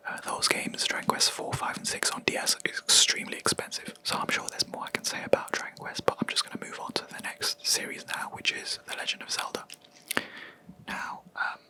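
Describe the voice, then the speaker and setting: Very bright, crisp whisper. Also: fairly quick, rough recording. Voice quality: soft unvoiced whisper throughout. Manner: rambling, hesitant, with many ums and self-corrections. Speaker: video creator. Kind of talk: whispered ASMR gaming chat.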